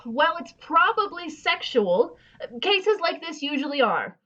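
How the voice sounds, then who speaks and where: An actress plays a self-assured, gossipy housewife in a comic audio play. Her voice sits very high and swoops expressively as she holds forth pompously. The sound is a little dull and lo-fi. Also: very animated, a little slow, a bit bright, heavily acted. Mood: self-assured, advising. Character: bossy, know-it-all gossipy housewife friend, amateur psychologist. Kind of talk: comedic audio drama acting.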